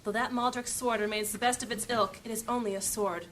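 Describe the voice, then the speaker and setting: Actress as cautious fantasy heroine, firm, formal, reasoning, slightly high voice, audio drama with faint background ambience. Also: female voice, steady tone, brisk, fairly bright tone, a little hiss or hum, close to an everyday voice. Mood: wary reasoning. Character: sharp, cautious woman adventurer in fantasy party.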